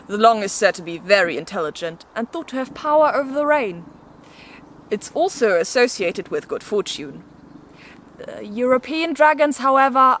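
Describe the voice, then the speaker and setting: A woman's voice: on the high side, fairly bright tone. A woman hosting an educational video, reading scripted narration with casual asides in a playful, lightly theatrical way. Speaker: video host presenting an educational explainer. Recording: noticeable background noise.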